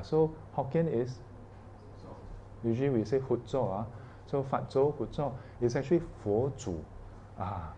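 A man's voice: medium pitch, dark tone, a little hiss or hum. A man teaching an informal Buddhist class, chatty and asking his listeners questions, often tagging sentences with "yeah" and "ah". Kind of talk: informal dharma class talk.

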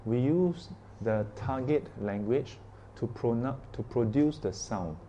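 A man's voice: medium pitch, slow pace, mellow, dull audio, slight background noise. A man teaching an informal Buddhist class, chatty and asking his listeners questions, often tagging sentences with "yeah" and "ah". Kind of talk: informal dharma class talk.

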